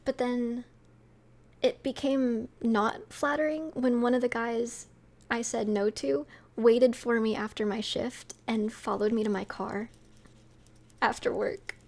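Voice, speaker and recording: Young female streamer, sweet and a touch high, loose rambling chat with fillers. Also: fairly even intonation, takes it slowly, vocal fry, a little mellow. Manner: rambling, many fillers and light laughs. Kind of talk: casual chat with viewers.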